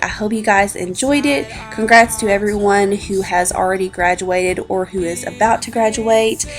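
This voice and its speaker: Woman in her twenties, congested and slightly scratchy, mid pitch, chatty tutorial narration, music behind. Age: 20s. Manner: chatty, informal. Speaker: beauty vlogger, host. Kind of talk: casual makeup tutorial narration. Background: background music.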